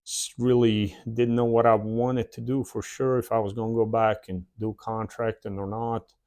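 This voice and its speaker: A man in his forties from the former Yugoslavia, with a Slavic accent and a Southern drawl, telling his own story plainly with many "uh" pauses in his shed workshop. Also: lowish voice, level tone, darkish tone, smooth. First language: a South Slavic language. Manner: plain, unhurried, frequent "uh" pauses. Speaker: channel host. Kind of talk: casual personal storytelling.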